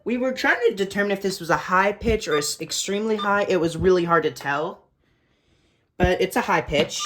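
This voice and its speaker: A woman's voice: mid-range voice, a little fast, noisy background. A woman giving a casual, blunt, informal show-and-tell tour of a collection as a vlogger. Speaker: vlogger showing a radio collection.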